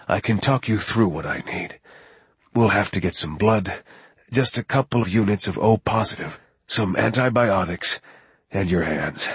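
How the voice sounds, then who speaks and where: A man voicing a wounded, weakened male operative who speaks quietly and tersely, straining to get the words out. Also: low voice, husky, no room sound, exaggerated voice.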